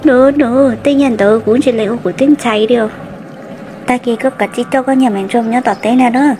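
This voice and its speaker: A woman voicing a female character in an amateur animated cartoon drama. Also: a little high, fairly quick, slight background noise, close to an everyday voice. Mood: conversational. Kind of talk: hobby animated cartoon dialogue.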